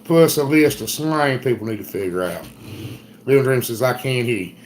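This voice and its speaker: A man's voice: medium pitch, expressive, moderate pace. A man with a thick Southern accent chats to his live viewers in a loose, folksy ramble. He picks up their comments and answers them as he goes. A faint hiss sits under his voice. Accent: Southern US, South Carolina. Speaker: homestead livestream host. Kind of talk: casual live-stream chat.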